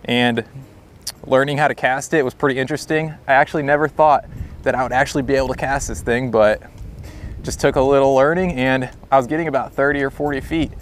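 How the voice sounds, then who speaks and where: A man's voice: mid-range voice, steady tone, fairly quick, a little hiss or hum. A young American man chatting casually and informally to camera as a fishing vlogger outdoors. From United States. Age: young adult. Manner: easygoing, informal. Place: outdoors by a pond, windy.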